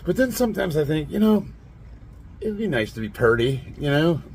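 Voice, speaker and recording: A man in his late forties with a raspy, nasal, stuffed-up voice, rambling bluntly and laughing often as he talks to camera. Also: on the high side, sing-song, normal speed, darkish tone, slight background noise. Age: 40s. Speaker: fitness vlogger. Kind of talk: casual monologue to camera.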